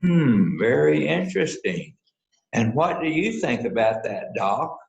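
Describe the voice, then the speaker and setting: A man playing a folksy, slow-witted small-town lawman with a halting delivery in an amateur mystery play. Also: on the low side, very animated, vocal fry, a little mellow, dull audio, heavily acted. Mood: curious, probing. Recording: echoey room sound.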